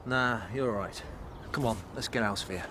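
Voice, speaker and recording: An actor playing a stressed, stubborn engineer, curt and defensive, in a naturalistic drama film. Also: male voice, medium pitch, normal speed, close to an everyday voice. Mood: impatient. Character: stressed, stubborn working husband and van-driving engineer. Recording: vehicle and location noise.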